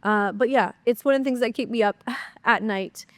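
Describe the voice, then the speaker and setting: A woman's voice: fairly high pitch, normal speed, no room sound. Congested upbeat woman podcast host. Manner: upbeat, chatty, many fillers. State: congested, nasal from a cold. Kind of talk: solo podcast Q&A, casual chat.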